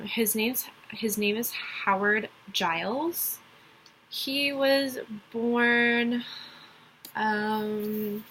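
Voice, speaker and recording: A woman's voice: mid-range voice, pushed and bright, a little hiss or hum, rough recording. A young woman from Dallas with a light Texas accent, giving a casual class presentation and rambling through it with lots of ums and restarts. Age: young adult. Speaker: college student.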